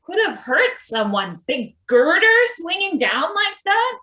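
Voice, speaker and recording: A woman playing a mild, weary maintenance worker in a stage play reading, halting and understated. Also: extremely high pitch, big pitch swings, pushed and bright, full character voice. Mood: startled, defensive. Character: hesitant, mild-mannered, weary male maintenance worker named Izzy. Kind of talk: live table read of a satirical stage play.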